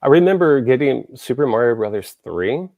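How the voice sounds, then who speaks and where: A man's voice: medium pitch, sing-song, moderate pace, creaky, dry recording, narrow, tinny audio. A man from Texas, hosting a show and chatting casually, rambling with lots of fillers and restarts. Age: adult, grew up in the 1980s. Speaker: show host. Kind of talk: casual podcast chat.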